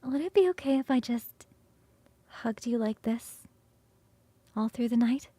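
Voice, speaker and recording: A woman voicing a playful, hungry and affectionate succubus roommate in an ASMR roleplay, coaxing and teasing with sighs and soft, breathy turns. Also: fairly high pitch, animated, dry recording. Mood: soft, intimate. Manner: coaxing, sighing, drawn-out savouring, shifting into soft whispers.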